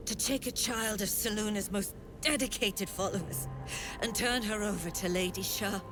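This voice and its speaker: A woman playing a haughty, menacing middle-aged mother superior of a dark cult in a fantasy video game, speaking imperiously with a cold, taunting edge. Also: mid-range voice. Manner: imperious, deliberate, coldly taunting. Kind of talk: theatrical video game dialogue.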